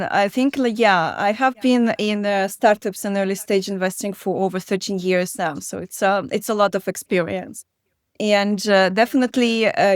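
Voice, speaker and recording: A woman's voice: mid-range voice, dry recording, studio quality. A woman in her thirties from Belarus, speaking as a guest in an interview, talking with frequent fillers and restarts. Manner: hesitant, many fillers and restarts. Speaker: guest investor. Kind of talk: conversational interview.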